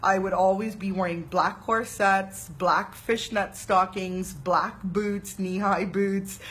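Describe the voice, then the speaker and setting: A woman talks through spiritual practices in an easy, conversational way, with a voice in the middle range. She is animated and chatty, drifting into fillers and quick "right?" check-ins as she goes. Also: medium pitch. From Trinidad and Tobago. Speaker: spiritual teacher. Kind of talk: casual spiritual talk to camera.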